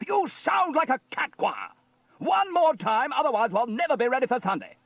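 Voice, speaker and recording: Bossy fire chief from a kids story, high and loud, barking orders, exasperated. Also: male voice, big pitch swings, normal speed, gravelly, no echo, heavily acted. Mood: stern, commanding. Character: bossy, exasperated male fire station officer, stern commander.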